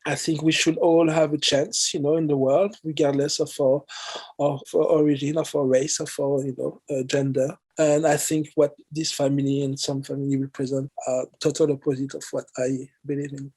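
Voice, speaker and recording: A man from France with a French accent, chatting casually as an interview guest and often pausing to search for words. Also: on the high side, level tone, a little mellow, husky, low-quality recording. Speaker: guest musician.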